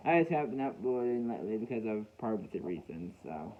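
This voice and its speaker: A man talking casually and reminiscing to viewers as a hobbyist host, in a halting way with repeated phrases. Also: fairly low pitch, very animated. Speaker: weather radio hobbyist hosting a video. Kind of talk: casual, reminiscing vlog talk. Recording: dull, lo-fi home recording.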